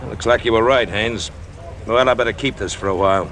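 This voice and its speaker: A commanding police captain in an old radio thriller. His voice sits on the low side and moves fast, curt and authoritative. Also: fairly bright tone. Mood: gruff, questioning. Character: brisk, commanding male police captain in charge. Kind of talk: 1950s radio drama acting. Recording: old radio broadcast, road noise over it.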